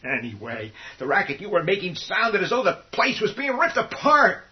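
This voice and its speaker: A defensive, stubborn man in a horror radio drama. His very high voice swoops with agitation as he argues, on slightly muffled audio. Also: wildly swooping pitch, normal speed. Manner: agitated, argumentative. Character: stubborn, panicky, defensive family man survivor. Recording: dull lo-fi audio.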